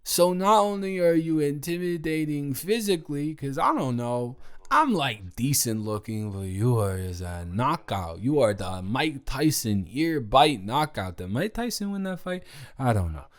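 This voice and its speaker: A man's voice: mid-range voice, sing-song, a little slow. A man playing a drunk young college roommate who rambles and stumbles sheepishly through a love confession, in slangy improvised audio drama. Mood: rambling, admiring. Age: young adult. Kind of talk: improvised roleplay audio drama, one-sided conversation.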